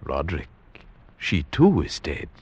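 An actor playing a grave gentleman friend who recounts a horror tale in the solemn, ornate manner of 1940s radio drama. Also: extremely low pitch, huge pitch swings, unhurried, breathy edge, full character voice. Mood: grave, pleading. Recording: dull vintage 1940s radio recording.

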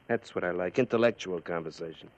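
An actor playing a hard, domineering American gang boss with dry, clipped sarcasm in an old radio crime drama. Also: male voice, deep voice, normal speed, dull audio, a little hiss or hum, lightly acted. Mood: sardonic.

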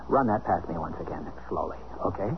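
An actor playing a bewildered, wry but soft-hearted American husband in a 1970s radio drama. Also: male voice, sits low, fairly quick, close to an everyday voice. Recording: muffled, hissy 1970s radio drama recording.

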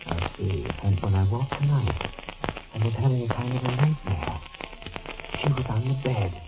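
Distraught American man played by an actor, guilt-ridden doctor on the run, halting stammer, pleading, medium pitch, vintage radio drama. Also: heavy background noise, close to an everyday voice. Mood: anguished, pleading. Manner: stammering, halting, repeating words. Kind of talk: 1940s radio mystery acting. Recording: old 1940s radio broadcast.

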